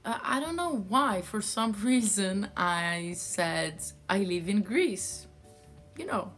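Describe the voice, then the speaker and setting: A woman's voice: mid-range voice, expressive, slow, fairly bright tone, soft background music. A woman from France, a yarn dyer telling a story in a casual vlog, rambling with many fillers.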